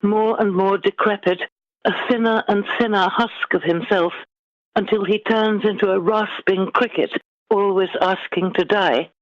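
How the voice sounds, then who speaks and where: A middle-aged woman guest author speaking in measured, formal, articulate phrases in a literary interview. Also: mid-range voice, fairly even intonation, dry recording. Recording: dull, lo-fi band-limited sound.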